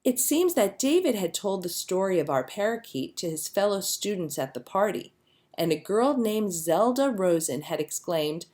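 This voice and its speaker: A woman reading a children's story aloud as a narrator, with expressive storytelling delivery. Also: mid-range voice, normal speed. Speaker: storybook reader.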